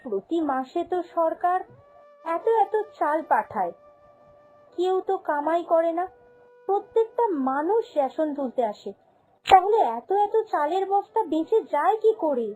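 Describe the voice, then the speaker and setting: Very high, earnest cartoon servant girl by a woman. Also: animated, measured pace, mellow, soft background music, low-quality recording. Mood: curious, deferential. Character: humble, honest young female shop servant. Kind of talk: cartoon fairy-tale voice acting.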